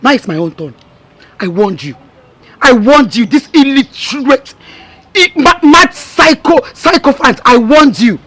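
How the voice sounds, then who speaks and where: A furious wife, acted by a woman, scornful and threatening. Her voice is very high and sharp, cutting hard at each line. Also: lively intonation, measured pace, heavily acted. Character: furious young wife named Blessing.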